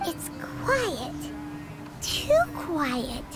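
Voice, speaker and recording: A woman voicing a tiny, childlike fairy companion in an anime-style game, squeaky, excitable and plucky. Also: very slow, loud music behind the voice, heavily acted. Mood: alarmed. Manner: excitable, exclaiming.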